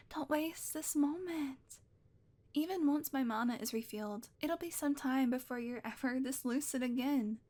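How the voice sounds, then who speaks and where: A woman voicing a sweet, possessive witch in a scripted roleplay, talking softly and teasingly and often dropping to a whisper. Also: high-pitched. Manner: soft, doting, teasing, drifts into whispers. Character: sweet possessive female witch, playfully menacing. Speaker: audio roleplay performer.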